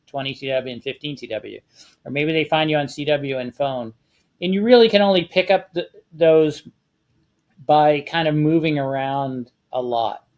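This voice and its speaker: Male panelist, slightly high voice, conversational explainer handing out practical tips. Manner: conversational, giving practical advice. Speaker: panelist on a ham radio discussion show. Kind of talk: casual explanatory talk.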